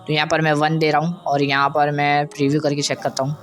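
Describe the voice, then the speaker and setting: A man's voice: on the high side, completely flat delivery, quick pace, fairly bright tone, soft background music. A man presenting an audio editing tutorial in a casual, conversational way, often checking that listeners follow. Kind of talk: informal software tutorial.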